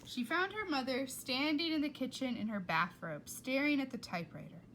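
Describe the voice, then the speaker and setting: A woman reading a children's book aloud with expressive, playful and dramatic narration. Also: high voice, moderate pace, slight background noise, rough recording. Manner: dramatic, playful delivery with whispers and asides. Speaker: read-aloud storyteller.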